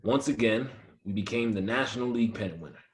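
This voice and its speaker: Man acting a low-voiced, proud ballplayer. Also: fast, roomy sound, lightly acted, poor audio. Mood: composed, reflective. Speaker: actor at a table read. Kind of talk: dramatic first-person script reading.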